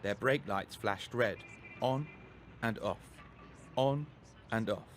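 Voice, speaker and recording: A man narrating a scripted children's story as a storyteller, expressive and deliberate, with faint vehicle noise behind him. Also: fairly low pitch.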